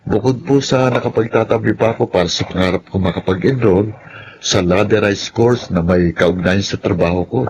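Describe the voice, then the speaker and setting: An actor with a Filipino accent playing a respectful, hopeful young factory worker in a radio drama. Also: male voice, fairly low pitch, moderate pace, natural voice. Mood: earnest, hopeful. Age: young adult. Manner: respectful, earnest. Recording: dull audio, noisy ambience behind. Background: ambient noise.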